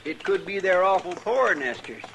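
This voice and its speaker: A man's voice: sits high, lively intonation, moderate pace, creaky, a bit bright, lo-fi sound, full character voice. A man playing a folksy, fretful cowboy sidekick with a Western American drawl and whiny, rustic speech, in an old-time radio western. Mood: curious, folksy. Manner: rustic, ungrammatical, whiny grumbling. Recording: old radio recording with faint noise.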